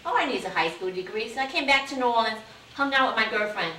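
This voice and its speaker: Bright-voiced woman from Vietnam, echoey. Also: a little high, fast talker. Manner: earnest, steady storytelling. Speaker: city council candidate. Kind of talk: interview answers, life story. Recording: echoey room, distant mic, faint hiss.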